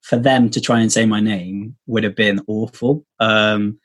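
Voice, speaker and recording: A man's voice: on the low side, fairly even intonation, measured pace. A man chatting casually as a podcast guest, rambling with lots of fillers and restarts, heard over a video call. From Wales. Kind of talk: casual testimony chat.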